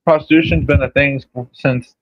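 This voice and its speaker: A man's voice: a little high, steady tone, normal speed, dull audio, crowd noise. A man in his thirties on a podcast, blunt and opinionated, cursing freely. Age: 30s. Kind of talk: casual podcast chat.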